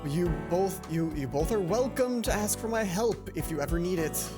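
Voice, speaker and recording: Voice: medium pitch, lively intonation, normal speed, over music, natural voice. A let's player voicing a composed, courteous young male tutor from a visual novel. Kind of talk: visual novel character read aloud.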